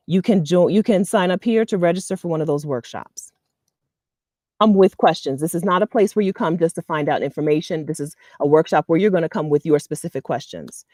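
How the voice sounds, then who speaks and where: An animated woman in her thirties from the US East Coast, talking straight to camera in a casual, advice-giving vlog. Her voice sits a little low and sounds mellow and warm, recorded dry and close. She laughs easily and keeps asking "okay?" to keep her listeners with her. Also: no room sound. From Delaware, US East Coast. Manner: chatty and animated, laughs often, checks in with "okay?". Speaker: vlogger and expat community host. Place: outdoors in front of a leafy green wall.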